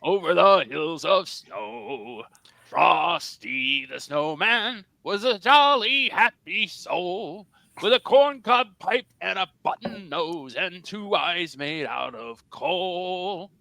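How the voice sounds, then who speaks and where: Creaky, bright-voiced man at a table read. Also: high-pitched, animated, slow, no room sound. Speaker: panelist and reader in a table read. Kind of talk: spoken song lyrics and casual banter.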